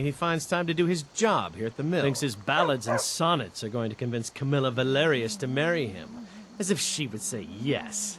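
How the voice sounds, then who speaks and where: An actor playing a wry, disdainful wood elf mill worker in a fantasy video game. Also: male voice, mid-range voice, background noise, lightly acted. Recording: music behind the voice.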